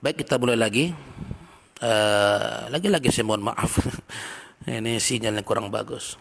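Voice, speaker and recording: A man teaching a religious study lesson, reading passages in Arabic and then explaining them in a relaxed, chatty way with everyday examples. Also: on the low side, measured pace, gravelly. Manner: reads Arabic text, then explains in plain talk. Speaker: Islamic teacher.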